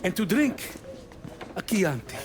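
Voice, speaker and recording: Gushing Italian male host of a restaurant, game cutscene, very lively melody, fawning, clear music bed. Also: sits high, a little slow. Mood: eager. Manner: gushing, deferential. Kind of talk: theatrical video game cutscene acting. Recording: music behind the voice.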